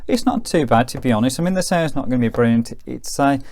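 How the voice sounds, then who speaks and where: A British man giving a casual, hands-on demonstration as a radio reviewer, explaining as he works in an informal, low-key way. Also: animated, fast, a little mellow.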